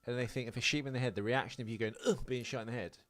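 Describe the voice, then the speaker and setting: A man's voice: medium pitch, steady tone, racing, fairly bright tone, dry recording. A man co-hosting a podcast, chatting casually about films in a jokey, explanatory way. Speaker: podcast co-host. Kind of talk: casual film chat.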